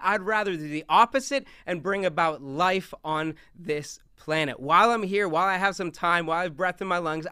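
A young man with a big, loud voice giving an animated talk on scripture from a stage, moving around as he speaks. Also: on the high side, clear, resonant tone, no room sound, clean studio sound. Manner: animated, talks with hands, moves around on stage. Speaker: youth speaker.

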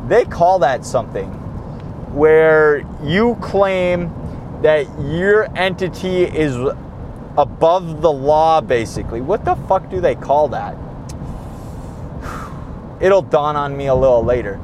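A young man talks through a solo podcast from a moving car, high in pitch and worn out, his voice fraying. He rambles, restarts his sentences and swears casually. Road noise hums clearly underneath. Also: lively intonation, takes it slowly, long pauses. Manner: tired, profane, rambling with many restarts. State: tired and losing his voice. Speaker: podcast host.

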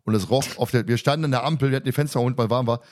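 A man's voice: mid-range voice, rapid-fire, a little mellow. A man hosting a casual podcast discussion, recapping and commenting in a colloquial, teasing, joking way. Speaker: podcast host.

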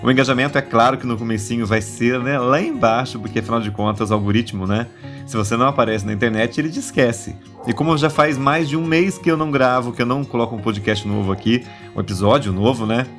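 A man's voice: medium pitch, fairly even intonation, fast talker, soft background music. Nasal Brazilian man with a cold. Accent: Brazilian Portuguese. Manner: chatty, warm asides, many tag questions. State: stuffy with a cold. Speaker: podcast host. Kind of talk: casual religious podcast chat.

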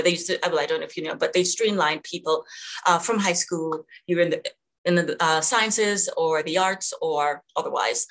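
A middle-aged woman born in Jamaica, a physician being interviewed, talking thoughtfully with many pauses and fillers. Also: on the low side, a bit bright. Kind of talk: conversational interview.

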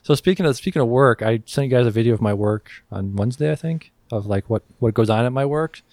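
A man's voice: mid-range voice, fast talker, a little mellow, dry recording. A man hosting a podcast in casual banter, joking crudely and swearing freely. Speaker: podcast host.

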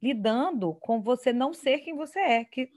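A woman's voice: high-pitched, animated, moderate pace, a little mellow. Brazilian woman in casual interview chat.

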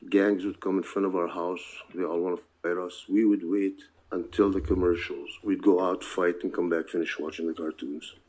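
Older man, deep voice, soft dark tone, earnest, reflective, deliberate phrasing, personal storytelling. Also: steady tone, rough recording. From born in Palestine, moved to the US as a child. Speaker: interviewee, father interviewed by own child. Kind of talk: personal oral history interview.

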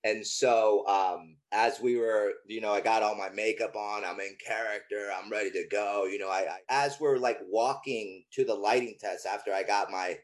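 A man from Chile whose first language is Spanish, an actor guest telling a long story in casual chat, animated and profane, full of "like" and "you know". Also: lowish voice, normal speed, hardly any pauses.

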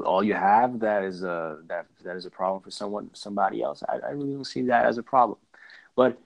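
A man speaking as a guest in a conversation, thoughtful and measured, often restarting sentences as he weighs both sides. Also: fairly low pitch. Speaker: podcast guest. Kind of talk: reflective conversational talk. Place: lives in southern Slovakia.

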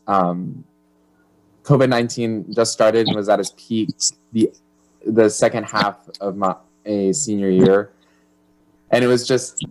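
Young man, college freshman, casual and relaxed, fairly low voice. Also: rough recording. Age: young adult. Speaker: university student.

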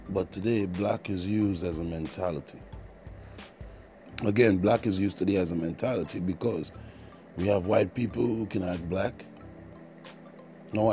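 A man's voice: low voice, dark tone. A man from Trinidad and Tobago with a Caribbean accent, hosting an informal solo podcast in an earnest, preachy way and repeating points for emphasis. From Trinidad and Tobago, partly Jamaica. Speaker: podcast host.

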